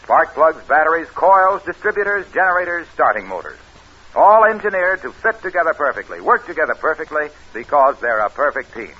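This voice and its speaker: An American man working as announcer and pitchman, reading scripted copy in a 1940s radio style. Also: very animated, fairly quick, bright, forceful tone, slightly rough. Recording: dull old radio recording.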